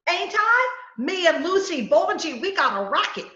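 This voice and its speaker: A woman's voice: extremely high pitch, big pitch swings, moderate pace, bright, forceful tone, heavily acted. A woman playing a scheming, coaxing woman who poses as a poisoner gangster, with breezy melodramatic patter in a 1940s-style radio comedy. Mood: coaxing, flirtatious. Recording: roomy, slightly dull video-call recording.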